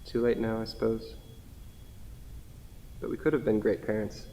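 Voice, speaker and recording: A man playing a wistful, gently rueful grieving widower in a staged play reading, recorded in an echoey hall. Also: fairly low pitch, flat delivery, moderate pace, a little hiss or hum, natural voice. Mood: subdued regret. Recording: echoey hall with long reverb.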